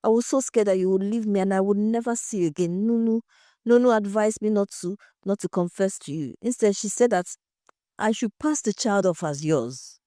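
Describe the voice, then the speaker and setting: A woman's voice: mid-range voice, normal speed, warm tone, no room sound, clean studio sound, pitched above the performer's own voice, lightly acted. A woman voicing a tearful, guilt-ridden wife in an audio story, pleading and faltering as she confesses. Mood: anguished confession. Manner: wailing, pleading, faltering repetitions.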